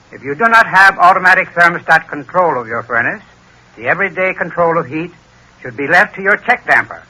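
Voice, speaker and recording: A man delivers a formal, instructive sponsor talk in old radio style. His voice is piercingly bright, a little high and husky. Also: rough recording. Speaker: sponsor's heating expert. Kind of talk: scripted 1930s radio advertising talk.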